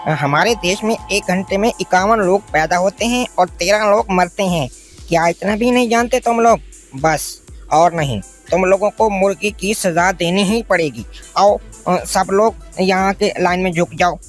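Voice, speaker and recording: A woman voices a cruel cartoon schoolteacher with a bossy, hectoring delivery. Her voice sits at a middling pitch and drips with scorn. Faint music plays behind her. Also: normal speed, lightly acted. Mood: scornful, angry. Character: cruel, scornful, greedy woman schoolteacher who terrorises children. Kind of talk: Hindi cartoon story voice acting.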